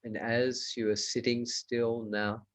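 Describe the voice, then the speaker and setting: A man leading a guided meditation as a teacher, giving measured instructions in short, spaced phrases. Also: medium pitch, little pitch movement.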